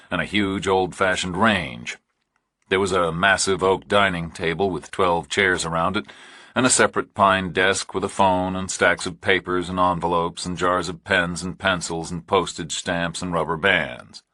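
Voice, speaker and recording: Very low-voiced male thriller narrator, slightly husky. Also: sharp tone, no echo, studio quality. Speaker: audiobook narrator.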